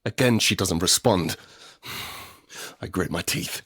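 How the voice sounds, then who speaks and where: A man's voice: deep voice, slow pace, a bit bright, breathy edge, heavily acted. A man acting a desperate, anguished middle-aged father in a post-apocalyptic audio drama, narrating in the first person with raw intensity. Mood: grim, restrained. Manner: intense, emotionally raw, sweary. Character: desperate, anguished father surviving an apocalypse, haunted and guilt-ridden.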